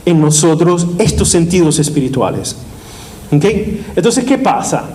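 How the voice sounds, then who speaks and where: A priest preaching a sermon, asking the audience questions and repeating key phrases for emphasis. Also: male voice, a little high, sing-song, measured pace, dark tone.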